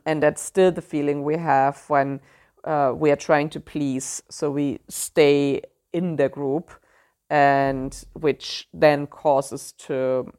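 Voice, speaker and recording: A woman with a German accent hosting a solo podcast, speaking thoughtfully and pausing often with fillers as she searches for words. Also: deep voice, creaky, darkish tone. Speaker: podcast host.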